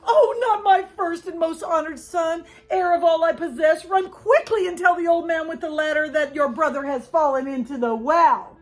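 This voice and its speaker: A woman's voice: high-pitched, unhurried, faint music behind. A woman voicing a stern, impatient mother who scolds her son.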